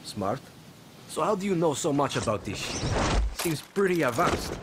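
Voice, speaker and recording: A man's voice: a little high, very animated, moderate pace, very noisy. An actor playing a blunt male guerrilla fighter out for revenge, who swears casually, in a video game.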